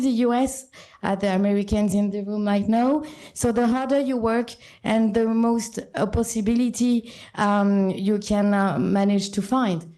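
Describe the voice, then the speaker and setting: A woman's voice: fairly high pitch, warm tone. French-accented woman giving a conference talk. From born in Sri Lanka, French and Italian parents. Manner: frequent ums and uhs, restarts sentences. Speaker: conference speaker. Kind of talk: short conference talk with a food metaphor. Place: conference room with a live audience.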